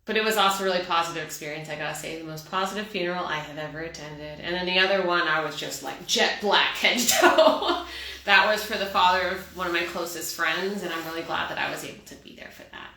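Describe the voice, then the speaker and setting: A woman from Texas with a light Texan accent, hosting a casual solo chat show, chatty and candid and quick to laugh. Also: fairly low pitch, pushed and bright. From Texas, USA. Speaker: podcast host. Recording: echoey room.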